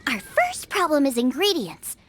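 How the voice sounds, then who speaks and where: A woman voicing a spirited, confident teenage girl chef in an animated fantasy game, eager and decisive. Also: sits high, moderate pace, a little hiss or hum, exaggerated voice. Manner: eager, bossy-cheerful, decisive.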